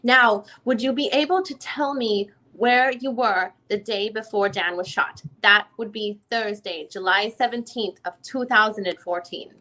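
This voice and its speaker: A woman's voice: mid-range voice, measured pace, few pauses, pushed and bright, low-quality recording. A woman reading the part of a lawyer questioning a witness at a deposition in a formal, methodical way. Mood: formal, probing. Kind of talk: dramatic reading of a legal transcript.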